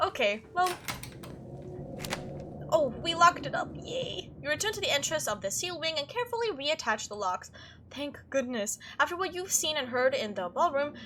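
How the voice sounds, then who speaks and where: A woman's voice: high-pitched, very animated, normal speed, sharp tone, a little hiss or hum. A woman doing a let's play, reading game text aloud and reacting in a chatty, playful way. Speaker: video game streamer doing a playthrough.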